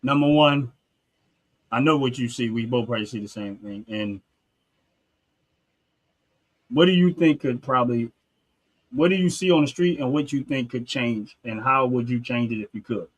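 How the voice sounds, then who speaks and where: Man, talk show host, earnest, mid pitch, halting restarts. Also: low-quality recording. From North Philadelphia, USA. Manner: earnest, repetitive restarts, street-talk phrasing. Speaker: podcast host. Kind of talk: casual talk show discussion.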